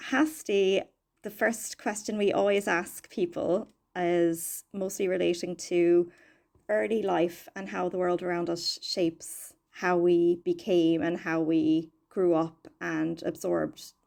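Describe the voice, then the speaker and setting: A woman's voice: on the low side, little pitch movement, slow, vocal fry, a little mellow. A woman hosting a podcast, introducing the show and asking questions in a friendly, plainspoken way. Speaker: podcast host. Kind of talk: podcast interview.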